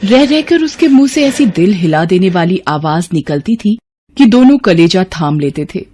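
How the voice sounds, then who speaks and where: A woman's voice: fairly low pitch, expressive, moderate pace, warm tone, slightly rough. A woman reading scripted literary story narration as the narrator.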